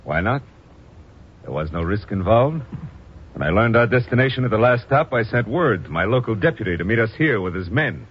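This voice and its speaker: An actor playing a cold, scheming secret police villain with formal, menacing speech in 1950s radio drama style. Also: male voice, on the low side, expressive, a little fast, lightly acted. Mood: smug, controlled. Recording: muffled, noisy old radio recording.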